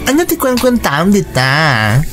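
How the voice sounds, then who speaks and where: Sassy frank friend, radio drama, swooping pitch, slow drawn-out chiding, faint music behind. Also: female voice, wildly swooping pitch. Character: sassy, frank female friend and co-worker abroad.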